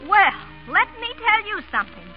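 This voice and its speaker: A woman's voice: extremely high pitch, very animated, normal speed. An actress playing a proud, plain-spoken small-town American woman in old radio comedy. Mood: proud, indignant. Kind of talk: 1930s-40s American radio comedy acting. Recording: dull old radio recording, faint music.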